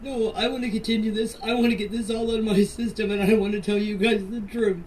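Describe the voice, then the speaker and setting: A woman's voice: medium pitch, steady tone, fast, darkish tone. An older woman from Canada gives an earnest, defensive personal account in a voice message, insisting on her points and repeating them. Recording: distant, noisy phone voice message.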